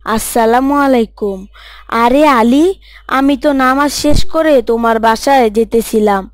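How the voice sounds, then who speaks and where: A woman's voice: on the high side, a little slow, no echo, clean studio sound, natural voice. A woman voicing a polite, upbeat young man, a friend of the hero.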